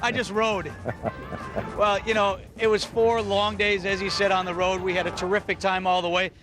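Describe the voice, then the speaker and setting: A man's voice: high voice, little pitch movement, moderate pace, music in the background. A male television news reporter giving an informal live report in a joking, conversational way. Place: outdoors on a city street in rain. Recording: outdoor live shot with ambient noise.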